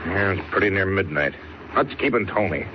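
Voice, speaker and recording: A hard-bitten male crime boss in a vintage radio drama. He is low-voiced, bossy and impatient, speaking rough slang. The old recording is muffled and hissy. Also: expressive, normal speed. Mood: gruff, impatient. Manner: tough street slang with rough grammar. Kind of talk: 1940s radio acting.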